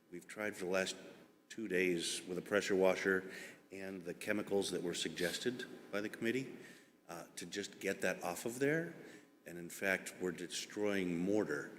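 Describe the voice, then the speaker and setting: A man giving informal public testimony as an applicant, explaining earnestly and haltingly with pauses. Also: low-pitched, fairly bright tone. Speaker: applicant, homeowner at a public hearing. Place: indoor public meeting room. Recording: echoey meeting hall with background noise.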